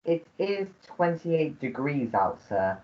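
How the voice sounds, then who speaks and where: Girl doing a proper, hesitant butler, slowish, voice goes up and down, muffled recording. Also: deep voice, sing-song, heavily acted. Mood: tactful. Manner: formal, apologetic, hesitant. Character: long-suffering, deferential male English manservant. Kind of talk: comic melodrama radio play.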